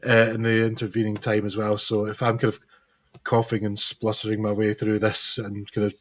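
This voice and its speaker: Hoarse low-voiced man. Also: completely flat delivery, normal speed. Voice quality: hesitant, lots of fillers and restarts. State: hoarse, recovering lost voice. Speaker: musician guest. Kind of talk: casual interview chat.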